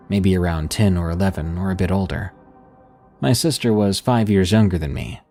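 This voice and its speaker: A man narrating scary stories in a slow, hushed storytelling manner, with soft music behind him. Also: low-pitched, slightly rough. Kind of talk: scripted horror narration.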